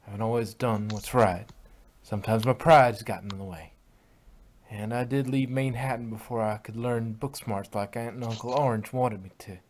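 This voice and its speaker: A man's voice: fairly low pitch, fairly even intonation, normal speed, natural voice. A man voicing a stubborn, tough female farm pony with a folksy drawl. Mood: resolute. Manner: folksy, defiant. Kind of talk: fan fiction reading.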